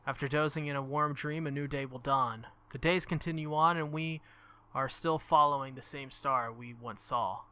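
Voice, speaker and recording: A man's voice: medium pitch, steady tone, normal speed, sharp tone, clear, resonant tone. A man who makes gaming videos, reading visual novel text aloud and commenting casually on the game. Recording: music behind the voice. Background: game music.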